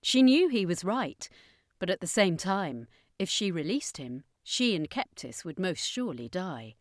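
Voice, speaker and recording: A woman's voice: on the low side, big pitch swings, a little slow, no room sound, clean studio sound. A woman reading a scripted fantasy adventure story as its narrator.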